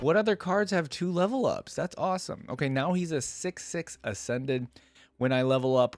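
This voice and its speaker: A man gives casual reaction commentary as a video host. His voice is medium in pitch, and he thinks aloud in loose, informal phrasing. Music is clearly audible behind him.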